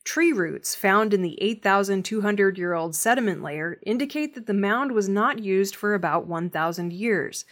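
A woman's voice: medium pitch, lively intonation, moderate pace, few pauses. A woman reading scripted news stories as the presenter of an audio newscast. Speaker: news reader.

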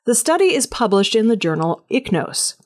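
A woman's voice: on the high side, sing-song, moderate pace. A woman working as a news reader, delivering scripted audio news narration.

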